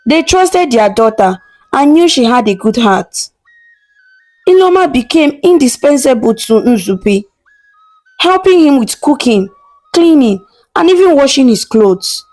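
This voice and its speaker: A woman storyteller reading a scripted folktale in a measured, expressive way. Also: high voice, faint music behind, poor audio.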